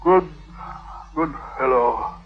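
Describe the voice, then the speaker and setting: An actor playing an ailing, trusting husband in an old radio drama, with halting, groaning speech. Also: male voice, mid-range voice, natural voice. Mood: feebly grateful. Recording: tinny old radio broadcast, faint music.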